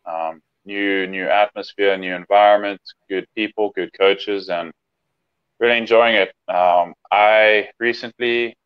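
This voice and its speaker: A man's voice: fairly low pitch, steady tone, unhurried, clear, resonant tone. A young man in his twenties from South Africa, talking easygoing and plainly as a guest on a video call. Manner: easygoing, plain, many ums. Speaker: guest swimmer. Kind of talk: casual interview on a video call. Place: home room. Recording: dull lo-fi call audio.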